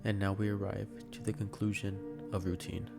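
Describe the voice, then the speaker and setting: A man's voice: lowish voice, completely flat delivery, normal speed, vocal fry, loud music behind the voice. A man giving scripted narration, recapping a horror story as a video essay narrator.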